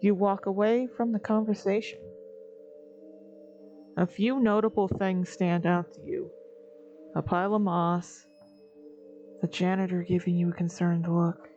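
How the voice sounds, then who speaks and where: A woman giving scripted second-person narration of a horror story, measured and theatrical. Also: fairly low pitch, flat delivery, warm tone. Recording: dull recording with background music.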